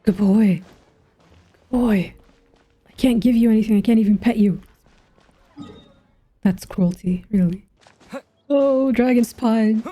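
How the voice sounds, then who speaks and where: High, mellow young woman gaming. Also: slow pace, pauses often, husky. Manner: musing aloud, half to herself. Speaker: gaming streamer. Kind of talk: casual let's-play commentary. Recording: game music behind the voice.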